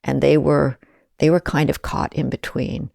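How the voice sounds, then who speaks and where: Gravelly-voiced woman, reflective guest. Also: deep voice, little pitch movement, warm tone, dry recording, clean studio sound. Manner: thoughtful, measured, enthusiastic about collaboration. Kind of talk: reflective conversational interview.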